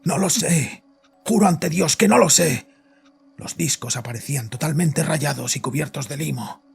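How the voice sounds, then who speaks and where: An actor playing a guilt-ridden, frightened father confessing to his psychiatrist in a horror audio drama, agitated and halting. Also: fairly high pitch, creaky. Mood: agitated, desperate. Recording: voice over background music.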